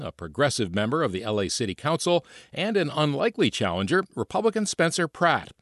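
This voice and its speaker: A man filing a radio news report in a steady reporting tone. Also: medium pitch, no echo, clean studio sound.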